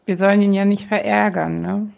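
A woman's voice: mid-range voice, steady tone, brisk, gravelly, darkish tone, slight background noise. A woman playing a tabletop roleplaying game, moving between casual table talk and in-character lines.

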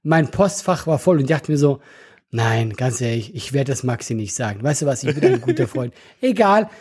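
A man with a Swiss German accent, chatting casually and telling animated stories with frequent laughter. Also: medium pitch, a little fast, slightly rough. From Switzerland. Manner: animated storyteller, laughs often. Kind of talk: casual chat.

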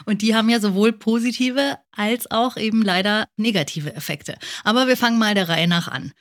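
A woman's voice: mid-range voice, fairly quick. A woman presenting and interviewing as a podcast host.

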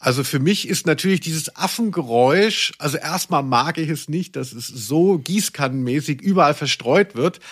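Guy podcast host chatting about audio plays, bit high voice, wry and mock serious. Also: animated, moderate pace, hardly any pauses, breathy edge. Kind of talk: casual conversational commentary on audio plays.

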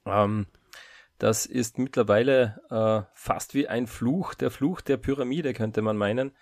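Gravelly, sick male podcast co-host. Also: medium pitch, a little slow, darkish tone, no echo, studio quality. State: sick, slightly hoarse. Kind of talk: casual podcast chat.

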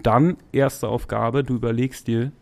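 A man in his forties chats as a guest on a podcast, loose and slangy, rambling through his thoughts with the odd laugh. His voice sits at an ordinary middle pitch. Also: steady tone, measured pace, creaky, darkish tone. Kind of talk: casual podcast chat.

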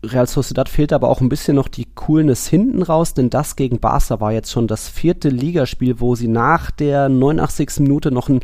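A man's voice: mid-range voice, fairly quick, few pauses, a little mellow, no echo, studio quality. A man co-hosting a casual football podcast, explaining things in long, colloquial, conversational runs. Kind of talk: casual football analysis chat.

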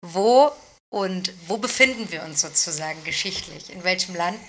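A woman's voice: on the low side, expressive, moderate pace, a bit bright. A woman hosting a podcast, introducing and questioning in a conversational interview style. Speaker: podcast host.

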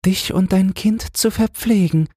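Man as gentle female angel, high husky voice, solemn and kind, fairy tale audiobook. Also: a little slow, dry recording, studio quality.

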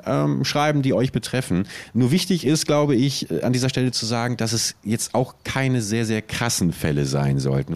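A man's voice: mid-range voice, darkish tone. A man co-hosting a comedic podcast in casual chat, playful and rambling, often stopping and restarting. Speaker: podcast co-host.

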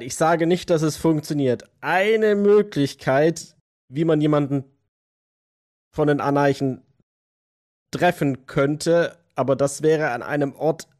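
A man's voice: on the high side, a little mellow. A man playing a wary, reluctant vampire in an audio drama, guarded and a little condescending. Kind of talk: improvised role-play audio drama dialogue.

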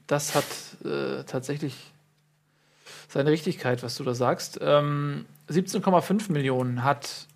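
Man, fairly high voice, football podcast host, casual chat, ironic jokes, lots of fillers. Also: unhurried, vocal fry. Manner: joking, ironic asides, many fillers.

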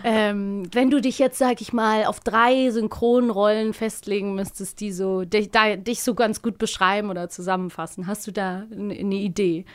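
A woman's voice: medium pitch, steady tone, normal speed, hardly any pauses, clear, resonant tone. A woman hosting a podcast in casual chat, speaking in an informal, encouraging way. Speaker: podcast host.

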